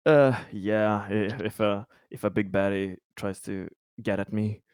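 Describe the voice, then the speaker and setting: A man's voice: fairly low pitch, steady tone, a little slow, darkish tone, clear, resonant tone, no echo, studio quality. A man playing a tabletop game, chatting casually in a wry, laid-back, sweary way.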